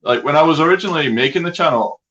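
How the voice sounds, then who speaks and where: A man's voice: a little high, expressive, racing, bright, forceful tone. A man with a Scottish accent chatting casually and easily as a guest. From Scotland. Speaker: guest, outdoors video creator. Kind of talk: casual chat. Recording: dull, muffled online call audio.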